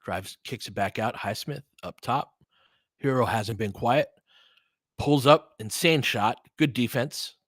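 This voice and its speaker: A man with a bright, forceful voice of medium pitch commentates on basketball as a livestream host. His delivery is informal and opinionated, moving between clipped play calls and loose chat with listeners. The sound is close and dry. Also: measured pace, husky, no echo. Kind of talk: casual fan play-by-play commentary mixed with chat.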